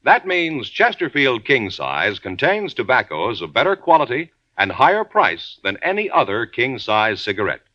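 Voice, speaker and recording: A male announcer with a booming, emphatic delivery, reading an introduction and commercial in 1950s radio style. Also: sing-song, moderate pace, few pauses, sharp tone, dry recording, highs cut off.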